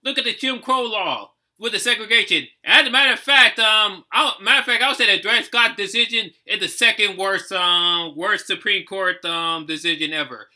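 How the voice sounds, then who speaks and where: An American man with a strikingly high, sharp-edged voice. He reacts off the cuff in casual talk, stopping and restarting often, and leans hard on his points with the odd swear word. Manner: halting, emphatic, swearing. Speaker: reaction video host. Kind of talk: unscripted reaction commentary, casual chat.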